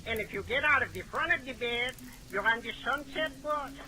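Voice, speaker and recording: High-voiced, henpecked neighbour. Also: male voice, normal speed. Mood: wryly complaining. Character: henpecked, cheerful male neighbour, wry about his wife. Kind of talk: 1940s radio comedy acting. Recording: noisy old 1940s radio recording.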